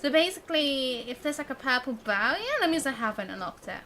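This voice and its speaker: A woman's voice: high voice, lively intonation, fairly quick, bright, forceful tone, slight background noise. A young woman chatting casually on a live game stream in a rambling, giggly way. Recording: game music faintly behind.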